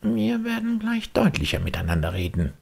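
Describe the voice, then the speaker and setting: Casting: naive puppet boy for storybook, very high thin voice, earnest, plaintive. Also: wildly swooping pitch, normal speed, gravelly, breathy edge, exaggerated voice. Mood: sly.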